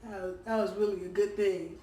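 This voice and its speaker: A hoarse woman giving a casual weekly tarot reading, chatty and full of ums and uhs. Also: medium pitch, fairly even intonation, moderate pace. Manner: chatty, warm-hearted, lots of ums and uhs. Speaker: tarot reader. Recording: roomy, distant from the mic, faint background hum.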